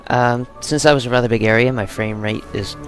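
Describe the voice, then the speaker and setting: A man's voice: medium pitch, fairly even intonation, a little fast, faint music behind. A man giving casual, meandering video game commentary with playful asides. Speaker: gaming channel host.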